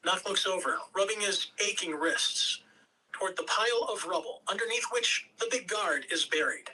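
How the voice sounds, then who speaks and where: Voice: mid-range voice, animated, normal speed, bright, forceful tone. An amateur reader performing screenplay narration and scene directions with theatrical emphasis. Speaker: script reader at a table read. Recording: distant home mic, background noise.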